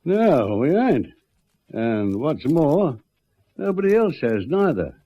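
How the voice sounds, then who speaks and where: A man's voice: fairly high pitch, sing-song, slow pace, heavily acted. A man playing a worried, stubborn country bushman father with a rural Australian accent, drawling and ungrammatical, in a radio drama. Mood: worried, insistent. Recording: muffled old radio recording.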